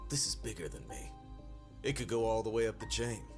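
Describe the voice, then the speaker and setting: A man's voice: on the low side, fairly quick, faint music behind, close to an everyday voice. An actor playing an imperious, defiant senior male power broker in a video game, condescending and weighty in delivery. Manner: condescending, weighty, self-righteous. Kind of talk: English video game dub, dramatic cutscene acting.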